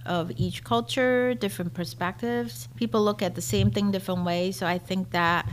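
A middle-aged woman with a Taiwanese accent, telling personal stories in simple, short, clearly phrased sentences. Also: mid-range voice, fairly even intonation, normal speed, slight background noise, rough recording. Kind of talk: personal storytelling.

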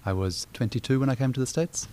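A man's voice: mid-range voice, fast, clean tone. A man in his twenties with a New Zealand accent, chatting casually about his life, with many fillers. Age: 20s. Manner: relaxed, chatty, many "you know" fillers. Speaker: interviewee. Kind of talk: personal storytelling.

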